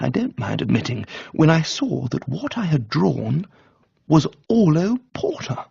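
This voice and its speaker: Husky, animated English male narrator. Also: medium pitch, dry recording, muffled recording. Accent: upper-class English. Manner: wry, droll. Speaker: audiobook reader. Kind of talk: first-person comic scripted narration.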